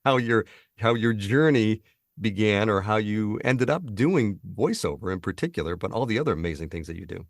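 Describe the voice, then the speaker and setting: A man's voice: lowish voice, animated, moderate pace, no room sound, studio quality. A man hosting a podcast who asks rambling, enthusiastic questions. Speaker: podcast host. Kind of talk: interview hosting.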